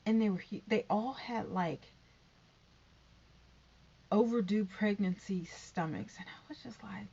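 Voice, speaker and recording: A woman's voice: medium pitch, unhurried, vocal fry, long pauses, warm tone, breathy edge, muffled recording. A woman in her fifties vlogging in a rambling, chatty way, with sighs and whispered asides. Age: 50s. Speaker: YouTube vlogger. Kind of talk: rambling casual vlog.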